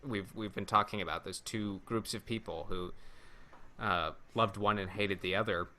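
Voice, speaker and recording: A man co-hosting a casual podcast discussion, talking in a measured, thoughtful way with hesitant phrasing. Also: on the low side, fairly even intonation, crowd noise. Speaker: podcast co-host.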